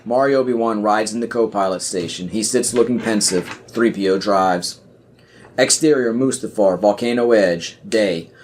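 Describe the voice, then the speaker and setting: A man's voice: fairly low pitch, moderate pace. Man narrating a script over faint noise. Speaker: podcast narrator. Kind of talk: scripted narration of screenplay directions.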